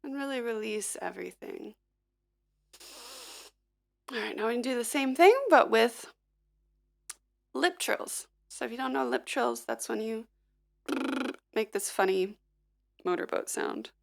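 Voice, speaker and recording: A woman hosting a livestream, gently talking viewers through a vocal warm-up at her piano with a slightly froggy morning voice. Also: fairly high pitch, a little slow, vocal fry, pauses often. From Filipina-American. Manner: gentle, encouraging, instructive. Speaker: singer-songwriter and livestream host. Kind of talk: casual vocal warm-up coaching.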